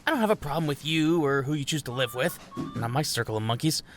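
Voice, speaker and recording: A man's voice: fairly high pitch, animated, fast, a bit bright, soft background music. A man playing a petty, resentful office manager in a comedy audio drama, haughty and indignant. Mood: exasperated.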